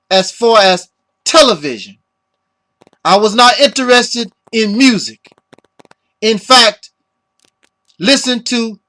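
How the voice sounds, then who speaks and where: A middle-aged man from the United States giving a reflective personal monologue in deliberate, halting phrases, his voice slightly hoarse. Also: extremely high pitch, sharp tone. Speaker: speaker giving a personal tribute.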